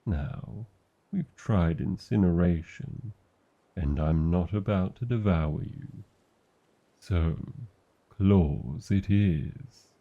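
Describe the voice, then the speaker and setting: A man's voice: low-pitched, extremely slow, narrow, tinny audio, full character voice. A man with a British accent voicing a huge, proud, protective dragon lover in a fantasy ASMR roleplay, speaking in formal, theatrical phrases with tender endearments and wry disdain. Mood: menacing, amused.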